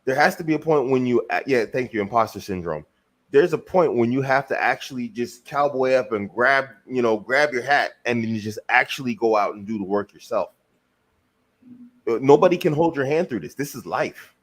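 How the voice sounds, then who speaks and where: A man's voice: medium pitch, expressive, normal speed. A man from the United States, a guest on a podcast, talking emphatically and throwing in rhetorical questions. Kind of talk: motivational podcast talk. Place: lives in Germany.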